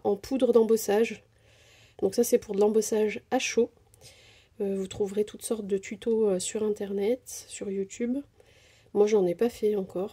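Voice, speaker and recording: Chatty woman with a husky, mellow voice. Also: mid-range voice. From Portuguese descent. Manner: chatty, hesitant, many fillers. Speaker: craft vlogger. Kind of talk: casual product haul narration. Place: indoors at a craft table. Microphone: camera over table, hands only.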